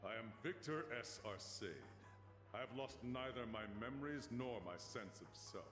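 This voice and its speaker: A man's voice: mid-range voice, expressive, normal speed, close to an everyday voice. An actor playing a solemn, formal middle-aged master swordsman in a video game. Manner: formal, grave. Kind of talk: video game cutscene voice acting. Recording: long hall reverb, music behind.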